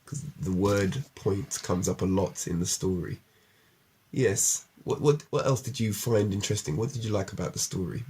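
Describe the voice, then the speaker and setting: A man's voice: low-pitched, normal speed, warm tone, breathy edge. A man interviewing casually, explaining things and encouraging the person he talks with. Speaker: interviewer, puppet show storyteller.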